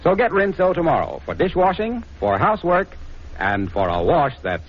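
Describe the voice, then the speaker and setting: A man's voice: a little high, wildly swooping pitch, moderate pace, very noisy. A man reading scripted copy as a 1940s radio announcer and sponsor spokesman, on a muffled old recording.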